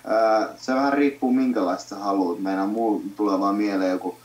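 A man's voice: lowish voice, steady tone, darkish tone, far from the mic, muffled recording. A young man in casual, slangy chat, as a friend throwing in suggestions, recorded in a noisy, echoey room away from the microphone. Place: indoors near a computer, keys clicking.

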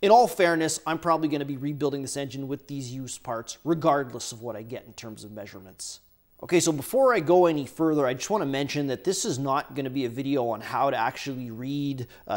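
A man's voice: mid-range voice, moderate pace, a little mellow. A man hosting a workshop how-to, casually explaining engine measurements while handling parts at his bench. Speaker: workshop host. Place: indoor workshop bench, overhead camera.

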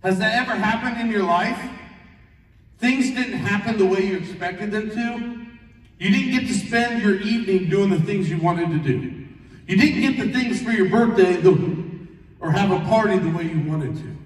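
A man, a pastor giving a plain-spoken, animated sermon to schoolchildren, full of rhetorical questions. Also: high voice, moderate pace. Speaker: pastor leading a children's chapel. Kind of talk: sermon to fifth and sixth graders. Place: large school gymnasium, indoors. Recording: echoey gym, distant microphone.

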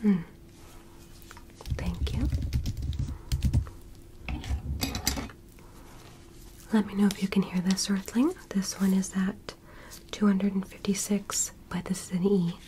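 A polite alien doctor acted by a woman whispers in a soft, breathy, medium-pitched voice. She takes her time, examining her earthling patient with gentle, clinical curiosity in an ASMR roleplay. Noticeable noise and clicking sit behind the whisper. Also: fairly even intonation, measured pace, lightly acted. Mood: gentle, attentive. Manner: gentle, clinical, formally praising.

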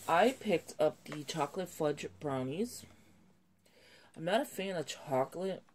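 A woman's voice: low voice, animated, unhurried, darkish tone, slight background noise. A woman vlogger with a slightly nasal voice from a cold, chatting casually and rambling as she shows items at a home table. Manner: rambling, self-correcting, chatty. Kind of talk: casual haul video chat.

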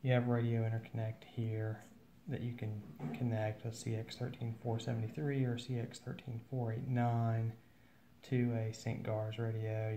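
A man giving an informal, matter-of-fact instructional walkthrough of equipment, with hesitant pauses. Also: lowish voice, mellow, highs cut off, slight background noise. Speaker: presenter of an equipment demo. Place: indoors at a wooden table at home.

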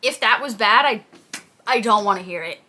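A woman's voice: medium pitch, moderate pace, very noisy. A woman, chatting casually to camera and acting out the stories she tells. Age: 20s. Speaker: fitness content creator. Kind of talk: casual chat, answering viewer questions to camera. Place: small plain indoor room, seated.

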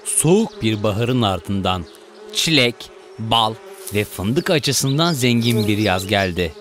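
Animated male children's story narrator. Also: on the low side, takes it slowly, slight background noise. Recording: music behind the voice. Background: background music.

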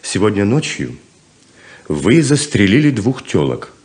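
A self-assured traveling rainmaker for a 1970s radio drama. His voice sits fairly low and moves in lively, theatrical swells as he grandly persuades. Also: unhurried. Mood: grand, persuasive. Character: smooth-talking, self-assured male con-man rainmaker. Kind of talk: 1970s Soviet radio play acting.

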